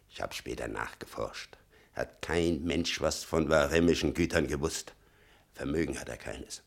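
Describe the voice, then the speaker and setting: An actor playing a bitter, doting old father in a 1960 German radio play, halting and brooding, muttering with sudden emphasis. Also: rumbling low, gravelly, heavily acted. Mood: bitter, scornful. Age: older.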